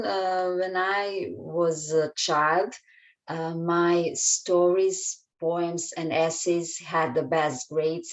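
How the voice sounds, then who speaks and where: A woman with a Serbian accent, a children's book author answering interview questions in a hesitant way, pausing often with filler sounds. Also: on the low side, poor audio.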